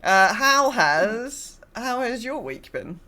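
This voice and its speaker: A woman with a British accent co-hosting a podcast in relaxed, informal conversation. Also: a little high, slow pace. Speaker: podcast co-host. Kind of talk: casual chat.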